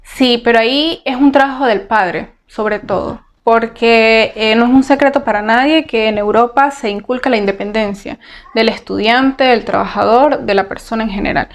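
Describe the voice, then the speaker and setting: A woman's voice: a little high, moderate pace. Earnest Venezuelan woman giving advice. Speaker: interviewee. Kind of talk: conversational interview answers. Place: Portugal.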